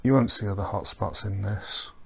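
A man giving a relaxed, rambling voice-over walkthrough of a virtual boat tour, with frequent ums and restarts. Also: lowish voice, normal speed, gravelly, slightly rough. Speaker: tour guide. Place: recorded while wearing VR goggles, not on the boat.

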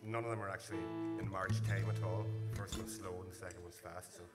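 Northern irish fiddler chatting between tunes, lowish voice, loud instruments around him on stage. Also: male voice, fast, over loud music. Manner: relaxed, joking, fillers. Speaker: traditional musician. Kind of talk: casual onstage tune introduction. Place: concert hall stage.

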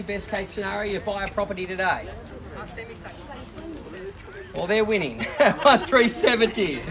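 A man with an Australian accent working as an auctioneer, calling bids at a live property auction on a suburban driveway outdoors and pressing the bidders to act quickly. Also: high-pitched. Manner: brisk, persuasive, pushing bidders to act.

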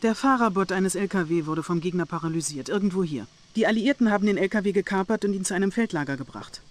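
A woman's voice: mid-range voice, quick pace, lightly acted. Game cutscene casting: actress as young female Soviet intelligence officer, cool and merciless, with tightly controlled military authority. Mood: businesslike. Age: young adult. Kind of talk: dramatic military briefing.

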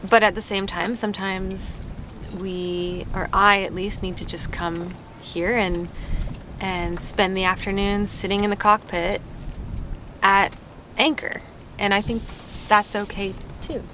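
A young woman talks casually and cheerfully to the camera while sitting in a sailboat's cockpit. Her pitch sits in an ordinary middle range. Clear outdoor boat ambience runs underneath. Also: little pitch movement, a little slow, sharp tone, a little hiss or hum, poor audio. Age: young adult. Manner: chatty, upbeat, laughs often. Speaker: sailing vlog co-host.

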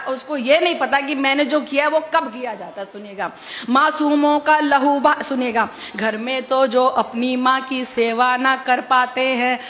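ऊँची आवाज़ में धीरे-धीरे शेर पढ़ती महिला शायरा. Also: female voice, high-pitched, a bit bright, a little hiss or hum. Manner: emphatic, repeats couplets to the audience. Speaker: poet reciting at a mushaira. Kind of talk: declamatory Urdu poetry recitation on stage. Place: stage at a public gathering with podium. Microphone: stand microphone on podium.

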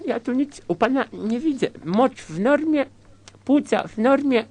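A woman voicing a pompous, flustered professor of medicine in a comic radio sketch, repeating words in quick bursts. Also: high voice, lively intonation, loud music behind the voice. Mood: brisk, dismissive.

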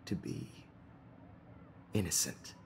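An actor playing a suave, encouraging photography teacher in a video game, with polished, slightly hesitant phrasing. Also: male voice, slow, darkish tone, slight background noise, natural voice. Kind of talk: narrative video game acting.

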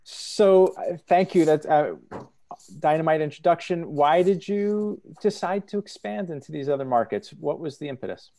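Brief: middle-aged male moderator, warm mellow tone, upbeat, earphone mic on a call. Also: fairly high pitch, normal speed, vocal fry. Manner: friendly, upbeat transitions. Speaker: panel moderator. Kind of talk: moderating a video panel. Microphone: earphone headset on video call.

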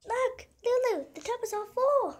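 A woman voicing an excitable, sweet and playful eight-year-old girl in an amateur comic dub. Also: very high-pitched, normal speed, voice pushed up. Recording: noisy amateur phone recording.

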